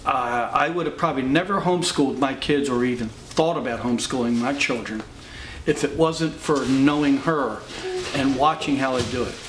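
A middle-aged man in a documentary interview, telling a family story in an animated way. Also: medium pitch, moderate pace, reverberant, noisy background. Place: home office with bookshelf.

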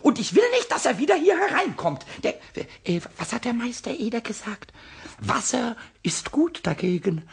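A man playing a small, cheeky, childlike kobold in a radio play, with a squeaky cackling voice, stammered repetitions. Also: big pitch swings, normal speed, creaky, heavily acted. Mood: defiant, scheming. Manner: stammering repetitions, childish exclamations, sing-song rhymes. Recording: noisy old radio recording.

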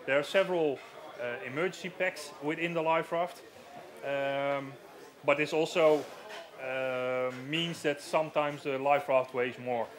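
A man's voice: fairly high pitch, steady tone, a little slow. A man in his thirties with a Dutch accent, giving a live stage demonstration in a marquee on a headset mic, explaining plainly with many fillers. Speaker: safety equipment rep. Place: large marquee at outdoor show.

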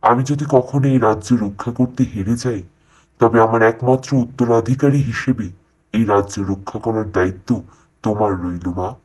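A man's voice: medium pitch, moderate pace, a little mellow, lightly acted. A man playing a solemn, loving king and father in a cartoon fairytale, grave and formal.

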